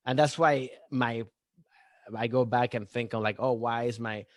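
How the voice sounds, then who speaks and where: A man's voice: medium pitch, steady tone, moderate pace, no echo, clean studio sound. A young adult man from Venezuela with a Venezuelan accent, a comedian chatting as a guest in a loose, rambling way with many restarts and fillers. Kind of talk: casual podcast chat.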